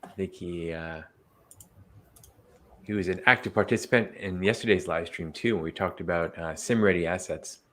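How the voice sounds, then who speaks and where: Low voiced guy cohosting a stream, easygoing, asks quick questions. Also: fairly bright tone. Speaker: livestream co-host. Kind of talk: casual livestream chat.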